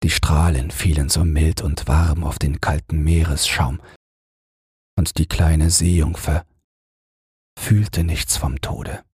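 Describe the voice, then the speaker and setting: A man narrating a bedtime fairy tale as an audiobook, in a calm, gentle and measured manner. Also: extremely low pitch, slightly rough, dry recording, clean studio sound.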